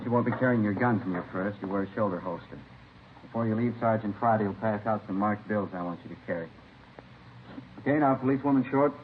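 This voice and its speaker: An actor playing an authoritative police captain who gives methodical instructions in a vintage radio crime drama. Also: male voice, mid-range voice, natural voice. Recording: muffled, hissy old radio recording.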